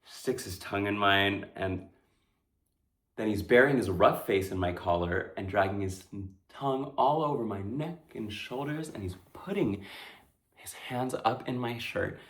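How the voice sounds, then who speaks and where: A man playing a dazed, terrified young street hustler in a breathless, halting dramatic stage monologue. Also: mid-range voice, big pitch swings, a bit bright. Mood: shaken, hushed. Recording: echoey room.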